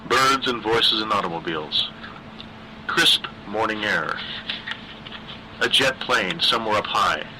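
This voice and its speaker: Male horror audiobook reader. Slightly low, very bright, tense tone, deliberate dramatic pacing, faint background noise. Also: low-quality recording. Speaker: story narrator, reader. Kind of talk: scripted narration of a dark horror story.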